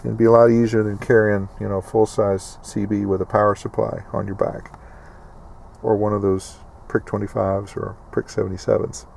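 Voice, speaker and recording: A middle-aged American man with a lowish, gravelly voice talks casually through a piece of gear. He rambles in a folksy, explanatory way, like a host addressing his viewers. Vehicle and ambient noise sits behind him. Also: flat delivery, normal speed, darkish tone. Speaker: prepper video host. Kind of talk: casual explanatory product walkthrough.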